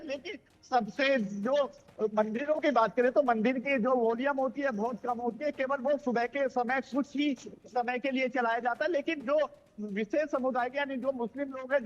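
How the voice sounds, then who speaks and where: Male field correspondent, strikingly high voice, wordy live report, dull sound with long reverb. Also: fairly bright tone. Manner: wordy, repetitive, leaning on filler phrases. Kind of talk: unscripted live news report. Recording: muffled, reverberant live broadcast link.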